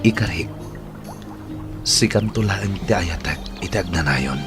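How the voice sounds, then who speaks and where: A man's voice: low voice, lively intonation, unhurried, over music. A man playing a devoted, reassuring romantic lead in a radio drama. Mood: tender.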